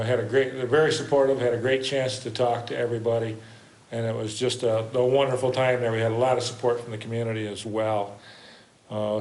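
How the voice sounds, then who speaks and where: Plainspoken man in an echoing meeting hall. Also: medium pitch. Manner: plainspoken, halting with many fillers. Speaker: public commenter, fishing club president. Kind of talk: public comment at a meeting. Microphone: lectern microphone.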